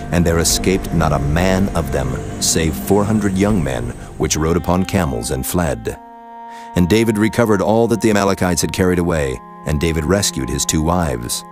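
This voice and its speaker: Deep-voiced solemn male scripture narrator.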